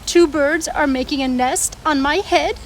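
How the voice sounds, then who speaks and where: A woman's voice: high-pitched, unhurried, a little hiss or hum, pitched above the performer's own voice. A woman voicing an anxious, easily alarmed elephant in a picture book, fretting and exclaiming in questions. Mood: worried, baffled. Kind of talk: picture book read-aloud.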